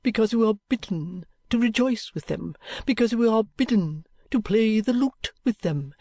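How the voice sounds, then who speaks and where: Oily pompous preacher, slow drawn-out questions, raised pitch, a man doing the voice. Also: darkish tone, dry recording, clean studio sound, exaggerated voice. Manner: sanctimonious, drawn-out rhetorical questions.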